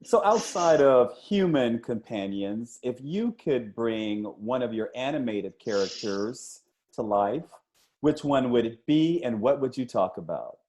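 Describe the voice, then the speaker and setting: Male interviewer, casual chat, animated swooping pitch, medium register, halting phrasing. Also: slight background noise.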